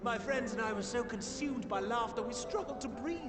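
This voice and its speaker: Very high-pitched foppish aristocrat. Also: male voice, animated, normal speed. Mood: gleeful, mocking. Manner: gushing, affected. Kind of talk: video game cutscene acting. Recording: long echoing reverb under music.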